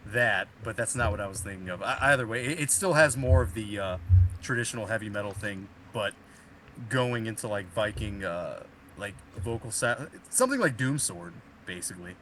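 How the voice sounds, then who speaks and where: A man's voice: on the low side, expressive, moderate pace, a bit bright, slight background noise, low-quality recording. A man co-hosting a casual music podcast, laid-back and sweary, often trailing off at the ends of his sentences. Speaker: podcast co-host.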